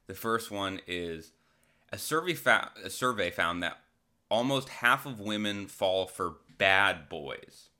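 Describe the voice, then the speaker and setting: Forceful, low-voiced American man riffing casually. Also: lively intonation, slow. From Massachusetts, United States. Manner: rambling, irreverent, crude, sardonic. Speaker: solo podcast host. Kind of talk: casual comedic monologue.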